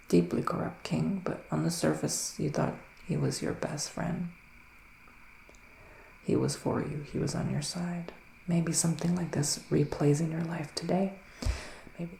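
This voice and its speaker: A man as a hushed, creaky male witch psychic. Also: a little high. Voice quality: breathy soft voice drifting into whispers. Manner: hushed, halting, intimate address to listener. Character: male witch psychic, soothing, earnest fortune teller. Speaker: ASMR performer playing a psychic reader. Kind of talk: ASMR roleplay, improvised tarot reading. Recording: roomy echo, faint background noise.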